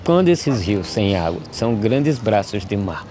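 A weary, despairing Brazilian migrant man in an animated verse drama. His voice is fairly low and he speaks in solemn, measured verse. Clear outdoor noise runs behind him. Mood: pleading, questioning. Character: weary, despairing male drought migrant, gaunt, questioning.

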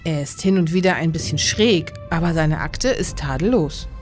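An actress playing a brisk, determined police inspector in a crime audio drama, speaking in a terse, no-nonsense way. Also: female voice, deep voice, darkish tone, soft background music, natural voice. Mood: businesslike.

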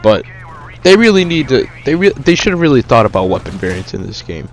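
A man's voice: medium pitch, very animated, fairly quick. A young man casually reviewing a shooter game over gameplay, rambling with many fillers and restarts. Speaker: gaming YouTuber.

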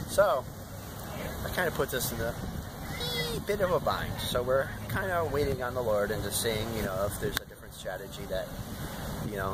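A young man talking casually to a camera outdoors as an evangelism vlogger. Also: mid-range voice. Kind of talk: casual vlog talk to camera. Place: outdoors by the sea. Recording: very noisy seaside camera audio.

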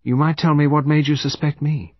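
A man's voice: medium pitch, brisk, dry recording, highs cut off, pitched above the performer's own voice, close to an everyday voice. A man voicing a prim middle-aged woman who confesses to murder, precise and emphatic, in an audiobook. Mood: conversational. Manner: clear, prim, emphatic diction.

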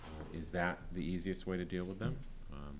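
Man, very deep voice, town official, hesitant, lots of ums, desk mic in a meeting room. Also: steady tone, normal speed, a little hiss or hum. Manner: hesitant, many ums and restarts. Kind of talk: answering questions at a public meeting. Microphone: desk microphone.

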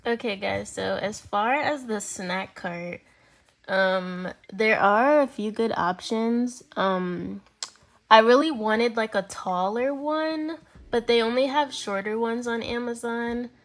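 A woman's voice: a little high, slow pace. Young woman, twenties, chatty rambling vlog talk, phone held at arm's length, small apartment. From California. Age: 20s. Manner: chatty, rambling, lots of fillers. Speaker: lifestyle vlogger. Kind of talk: casual day-in-my-life vlog. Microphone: phone camera held at arm's length.

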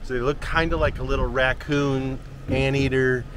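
A man's voice: medium pitch, little pitch movement, moderate pace, a bit bright. A man in his forties casually chatting in a travel vlog from the driver's seat of a camper van, tossing in Spanish words. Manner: easygoing, drops in Spanish words. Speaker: travel vlogger. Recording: road and engine noise behind.

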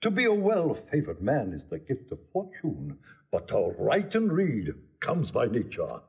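A man's voice: medium pitch, wildly swooping pitch, a little slow, creaky, lo-fi sound, full character voice. An actor playing a pompous, muddle-headed constable instructing his watch in a classical stage comedy style. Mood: pompous instruction. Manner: pompous, self-important, instructing.

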